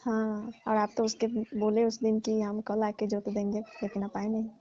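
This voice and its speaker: A woman's voice: medium pitch, completely flat delivery, normal speed, dark tone, a little hiss or hum. A woman chatting casually and explaining herself in a comic phone conversation. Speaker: caller playing a farm owner. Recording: dull lo-fi phone call recording.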